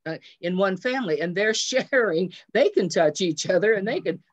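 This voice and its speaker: A woman's voice: medium pitch, animated, normal speed. A woman chatting as a director on a panel, explaining in a casual, stop-and-start way over a video call. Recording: dull, lo-fi video-call audio.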